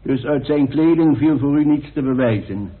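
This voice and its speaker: A man's voice: medium pitch, close to an everyday voice. Tinny radio recording of a formal coroner, acted by a man. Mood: dry, probing. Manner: formal, measured, authoritative. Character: formal, dry-witted coroner presiding over an inquest. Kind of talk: radio drama acting. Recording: tinny band-limited old radio recording.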